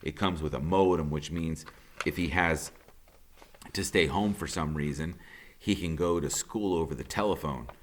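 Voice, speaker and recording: A man, a teacher, reading a novel aloud in the first person with an expressive, conversational delivery. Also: extremely low pitch, normal speed, slight background noise. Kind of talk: audiobook narration in first person.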